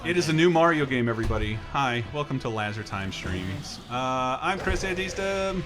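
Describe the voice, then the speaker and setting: A man's voice: medium pitch, animated, moderate pace, music in the background. A man casually commentating as host of a gaming livestream, enthusiastic and wisecracking.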